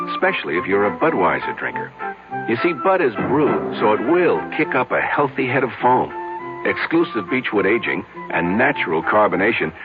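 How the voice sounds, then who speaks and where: Tinny old radio sound with clear music backs a man announcing a commercial. His low voice is conversational and emphatic, with very lively intonation. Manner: chatty, emphatic. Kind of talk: scripted conversational radio advertisement.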